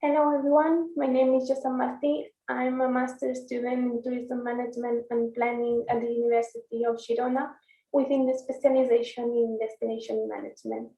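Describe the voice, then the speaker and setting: A woman's voice: fairly high pitch, level tone, fairly quick, mellow. A woman master's student from Spain, speaking in a fluent, composed way. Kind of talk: prepared video question. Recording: echoey room, distant mic.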